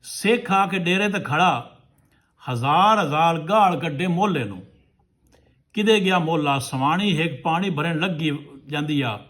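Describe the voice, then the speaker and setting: A man's voice: on the high side, expressive, unhurried, fairly bright tone. A man telling a folk tale to listeners as a storyteller, in short rustic phrases.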